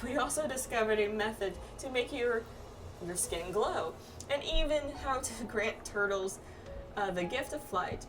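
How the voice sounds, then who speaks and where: A woman's voice: on the high side, animated, a little slow, noisy background. A woman voicing a breezy, confident astrologer guide from a fantasy game, chatty and teasing as she reads quest dialogue aloud. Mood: playful, boastful. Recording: distant mic, music behind.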